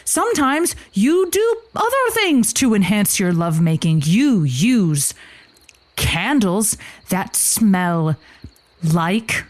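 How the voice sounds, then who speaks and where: A woman playing a supportive, flowery advice radio host in an improvised comedy show, with a theatrical, deliberate delivery. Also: fairly high pitch, dry recording.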